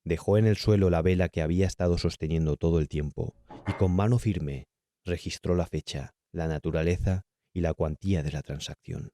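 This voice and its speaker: A man's voice: low voice, steady tone, moderate pace, dark tone, no room sound, studio quality. A man with a Castilian Spanish accent reading a horror audiobook as a dramatic narrator.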